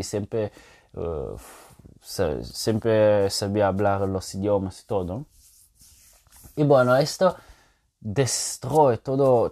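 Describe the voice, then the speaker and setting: A man's voice: lowish voice, mellow. A man hosting a casual solo podcast, talking hesitantly with many fillers and tag questions. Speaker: podcast host.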